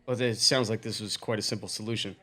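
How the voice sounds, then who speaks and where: A man with a fairly low voice hosts a casual podcast discussion. He talks in an analytical way, framing the problem and raising questions as he reasons through it. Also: steady tone, quick pace, pushed and bright. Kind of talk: casual talk-show discussion.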